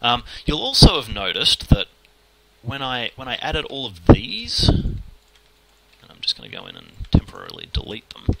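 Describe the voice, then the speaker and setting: A man with an Australian accent talking fast and casually through a screen-recorded modelling tutorial, muttering at the software as he works. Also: medium pitch, wildly swooping pitch, vocal fry, background noise. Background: keyboard typing and mouse clicks.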